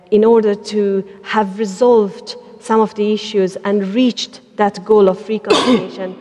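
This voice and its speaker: A woman from Afghanistan speaking as a panelist, pausing often with fillers and restarting her sentences. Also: medium pitch, dark tone. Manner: hesitant, many fillers and restarts. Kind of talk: panel talk. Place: large church hall. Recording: long hall reverb.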